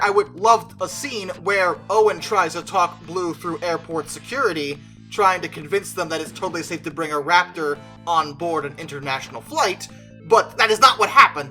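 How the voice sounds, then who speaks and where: Video commentator with a bright, forceful voice at middling pitch, conversational and opinionated, leaning on key words, music underneath. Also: moderate pace. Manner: emphatic, conversational, stretches stressed words. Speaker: video creator and host. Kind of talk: opinionated movie review commentary.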